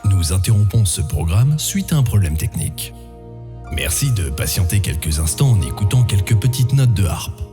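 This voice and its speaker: An actor playing a dreamy, soothing and rapturous announcer in a parody broadcast interruption for an audio comedy. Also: male voice, fairly low pitch, sing-song, moderate pace. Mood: serene, enraptured. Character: dreamy, self-absorbed technical-interruption announcer. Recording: new-age music behind the voice.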